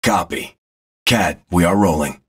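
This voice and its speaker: Low, husky plane captain. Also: male voice. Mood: calm, procedural. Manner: measured, laconic. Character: calm male military reconnaissance plane captain. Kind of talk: procedural cockpit radio calls, game acting.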